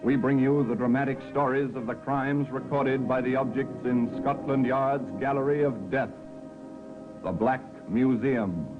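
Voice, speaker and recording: Formal male announcer over loud music. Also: mid-range voice, fairly even intonation, measured pace. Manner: formal, dramatic. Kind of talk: 1950s radio announcing, formal scripted introduction. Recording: muffled 1950s radio broadcast over music.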